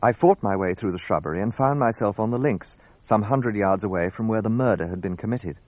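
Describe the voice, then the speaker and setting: An actor playing an earnest, gallant gentleman who narrates and acts in a radio drama. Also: lowish voice, a little fast, lightly acted. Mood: measured narration.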